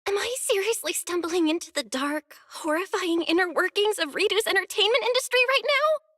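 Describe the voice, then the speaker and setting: A woman's voice: very high-pitched, a little fast, dry recording, studio quality. A woman voicing a friendly, earnest young female video store manager in an anime-style game, speaking expressively and conversationally. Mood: flustered, dramatic. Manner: expressive, animated, conversational delivery. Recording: background music under the voice.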